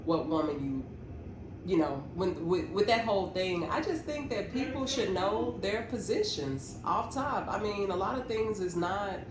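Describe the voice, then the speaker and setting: A woman's voice: medium pitch, lively intonation, measured pace, roomy sound, noisy background. Earnest woman in her late thirties. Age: 30s. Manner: earnest, reasoning through her point. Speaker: podcast co-host. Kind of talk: casual podcast chat.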